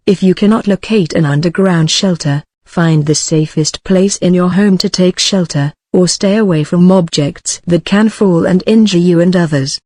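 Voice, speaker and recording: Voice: medium pitch, moderate pace, hardly any pauses, a little mellow, no echo, studio quality. A synthetic-sounding announcer reading a scripted emergency broadcast warning.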